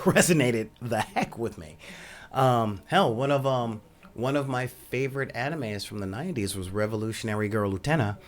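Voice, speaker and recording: A soft-spoken man hosting a podcast, talking casually in a rambling monologue full of ums and asides. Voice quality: says he has a high voice. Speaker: podcast host.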